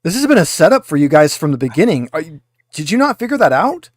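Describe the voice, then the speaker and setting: A man's voice: fairly high pitch, sing-song, quick pace, slightly rough, no room sound, clean studio sound. A man playing a blunt, exasperated female lance corporal who has deserted, in a tabletop game. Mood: scornful, exasperated.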